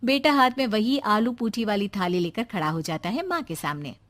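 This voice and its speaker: A woman's voice: mid-range voice, lively intonation, moderate pace, very noisy. A woman narrating a scripted family story.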